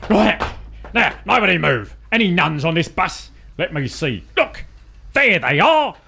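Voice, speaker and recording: A man playing a pompous, brisk male police sergeant who is fond of his own puns, in an amateur radio play. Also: sits high, big pitch swings, gravelly, bright, forceful tone, slight background noise, exaggerated voice.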